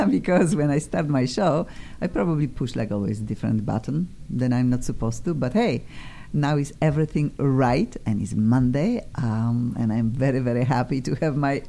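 A woman's voice: extremely low pitch, normal speed, dark tone. A woman with a Polish accent hosting a talk radio show in a casual, effusive, chatty way. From Poland. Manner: effusive, gushing, informal.

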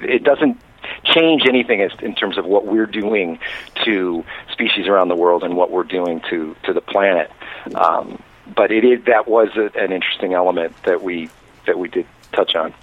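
A man, a documentary director, answering interview questions by phone in a halting way, often restarting his phrases. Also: deep voice. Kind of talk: radio interview. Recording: tinny phone line.

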